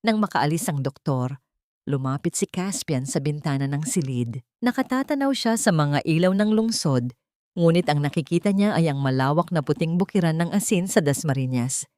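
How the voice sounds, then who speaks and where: A woman narrates a scripted drama in a slightly low voice. The recording is close and dry, with no room around the words. She delivers it as storytelling. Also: expressive, normal speed, dark tone, dry recording.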